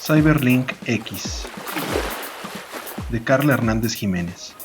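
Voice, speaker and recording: Male podcast announcer over loud music. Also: mid-range voice, expressive, slow pace. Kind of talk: scripted podcast intro announcement.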